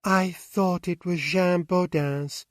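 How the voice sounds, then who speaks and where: A man's voice: sits high, fairly even intonation, slow pace, no echo, studio quality. A man voicing a distraught young woman. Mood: tearful. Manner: anguished, pleading.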